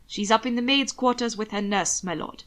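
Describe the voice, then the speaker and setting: A woman voicing a calm, courteous butler. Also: fairly high pitch, normal speed, natural voice. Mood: formal. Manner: formal, polite. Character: proper older male butler, calm and courteous.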